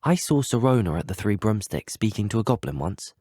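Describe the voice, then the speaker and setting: A lowish, slightly husky British voice for an earnest teenage wizard student in a video game. He is courteous and curious, with a very mobile melody, recorded dry and close. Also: big pitch swings, fairly quick, mellow, dry recording. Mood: earnest, polite. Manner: courteous, well-spoken, formal. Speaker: player character voice actor. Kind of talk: scripted video game voice lines.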